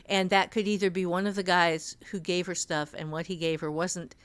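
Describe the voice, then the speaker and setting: A woman's voice: lowish voice, little pitch movement, normal speed, clean tone. A middle-aged woman co-hosting a podcast, teaching writing in an informal, explanatory way. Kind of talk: casual instructional discussion.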